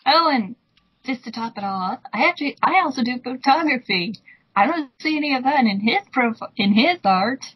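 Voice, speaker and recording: A woman's voice: on the high side, expressive, a little fast, husky, dry recording. A woman giving sarcastic, mocking commentary on a dull, muffled recording. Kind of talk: mocking commentary and read-aloud.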